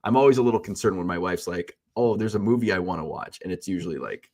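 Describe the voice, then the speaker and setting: A man's voice: sits low, lively intonation, fast, a little mellow. A man hosting a live stream, chatting casually and rambling off topic with jokes, sounding stuffy and congested from a cold. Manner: chatty and joking, wanders off on tangents. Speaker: host of a daily live stream. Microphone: streaming setup.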